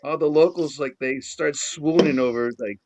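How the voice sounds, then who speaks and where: A man's voice: fairly high pitch, moderate pace, a little mellow. A middle-aged man from the Boston area with a Boston accent, a guest in a casual chat who rambles with many restarts and fillers. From Boston area, Massachusetts.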